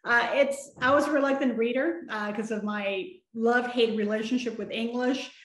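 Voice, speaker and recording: Higher-voiced woman moderator in echoey room. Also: steady tone, normal speed, a bit bright, slight background noise. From San Antonio, Texas. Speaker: panel moderator and author. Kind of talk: moderating a panel. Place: small room at home. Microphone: video call webcam. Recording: echoey room on video call.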